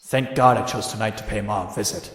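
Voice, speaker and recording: A man's voice: fairly low pitch, quick pace, fairly bright tone, slight background noise, lightly acted. An actor playing a wry, cocky young vigilante, narrating his own story in a dry manner with an echo on the voice. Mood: brooding. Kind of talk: scripted first-person narration. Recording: echoey with long reverb.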